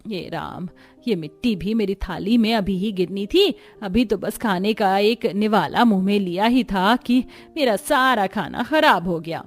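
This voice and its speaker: A woman's voice: mid-range voice, measured pace, faint music behind. A woman voicing a poor, weary, self-sacrificing potter mother in a Hindi cartoon story. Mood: weary, resigned.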